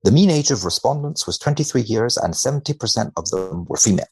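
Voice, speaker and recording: A man speaking as a podcast guest in a measured, deadpan way with dry humour, both chatting and reading research aloud. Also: mid-range voice, husky. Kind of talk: wry conversation and dramatic reading of a research paper.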